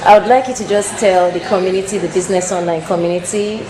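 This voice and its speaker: A woman's voice: on the low side, steady tone, fast, crowd noise. A woman interviewing a business guest, asking her questions in a polite, friendly way. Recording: echoey room with background noise.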